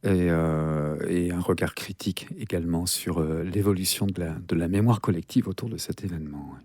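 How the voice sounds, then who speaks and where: A man with a very deep, dark voice hosts a radio chat, recorded dry and close. He moves the talk along with short prompts and keeps murmuring agreement. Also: brisk, no echo. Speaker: radio host.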